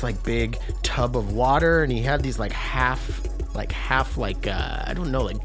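Rambling man hosting a podcast. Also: mid-range voice. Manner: rambling, filler-heavy, enthusiastic. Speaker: podcast host. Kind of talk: casual podcast intro and interview.

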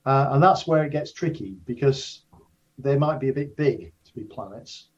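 An older man speaking as an expert guest in an interview, explaining carefully in a measured, unscripted way with restarted phrases. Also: medium pitch, animated, darkish tone, slightly rough, highs cut off. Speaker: interview guest, astrophysics professor.

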